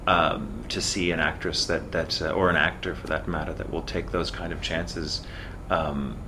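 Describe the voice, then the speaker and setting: A man from Texas with a mid-Atlantic theater accent, speaking as a voice actor guest in a casual interview in an articulate, measured way. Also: low-pitched, level tone, noisy background.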